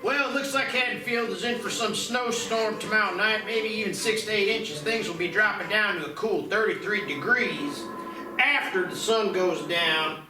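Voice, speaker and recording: A man's voice: high voice, moderate pace, natural voice. A man playing a chatty television weather forecaster in a screenplay table read. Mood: chatty, informative. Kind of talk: scripted broadcast patter, table read. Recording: echoey, distant, music behind.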